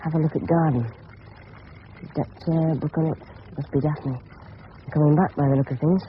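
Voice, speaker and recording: A man's voice: fairly high pitch, steady tone, moderate pace, natural voice. Tinny radio voice of an eager English schoolboy, acted by a man. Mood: musing, cheeky. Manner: clipped, fragmentary, boyish slang. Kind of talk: 1970s radio drama inner monologue. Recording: tinny, faintly noisy old radio broadcast.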